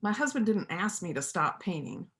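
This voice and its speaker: A woman's voice: mid-range voice, sing-song, normal speed, a bit bright. A woman acting a lonely, plainspoken veterinarian and painter in a church reader's theater play. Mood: candid.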